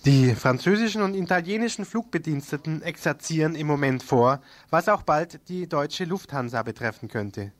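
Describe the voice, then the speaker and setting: A man's voice: fairly high pitch, animated, normal speed, hardly any pauses, no room sound. A man reading a scripted radio news report, with a critical, ironic edge in his wording.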